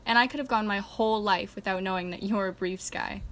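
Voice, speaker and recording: An actress playing a dry, teasing radio host, delivering scripted comic introductions. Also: female voice, medium pitch, steady tone, fairly quick, a bit bright, lightly acted.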